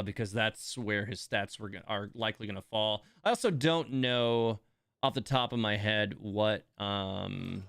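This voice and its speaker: A man giving casual live game commentary as a streamer, musing and thinking out loud. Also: lowish voice, clear, resonant tone, dry recording, clean studio sound. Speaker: game livestreamer.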